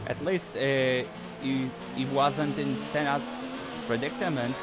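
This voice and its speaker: A man's voice: mid-range voice, steady tone, over music. A man whose first language is French, casually commenting while playing a racing game, rambling and breaking into sudden outbursts. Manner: rambling, easily distracted, quick outbursts. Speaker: gaming YouTuber. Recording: gameplay audio with clear engine noise.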